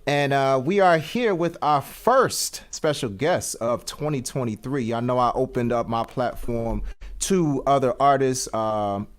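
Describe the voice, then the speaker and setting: A man speaks as the host of a casual online show, at a middling pitch. He is effusive, often doubling up his words of welcome and appreciation. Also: unhurried, clear, resonant tone. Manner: effusive, repeats greetings and thanks. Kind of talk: casual livestream hosting.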